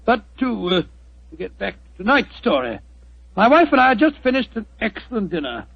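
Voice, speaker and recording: A genial, fussy older doctor who blusters, stammers and chuckles. His voice is high for a man, gravelly and husky. It comes through a dull, muffled 1940s radio recording. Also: big pitch swings, moderate pace, no room sound, exaggerated voice. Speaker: narrator of the tale within the play.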